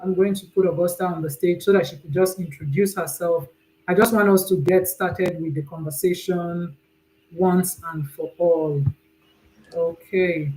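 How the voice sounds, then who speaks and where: A woman's voice: lowish voice, fairly even intonation, moderate pace, warm tone, slight background noise, low-quality recording. Chatty Nigerian woman hosting a call. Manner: chatty, restarts sentences often. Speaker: host of an online panel. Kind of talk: casual live-stream hosting. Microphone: computer mic on a video call.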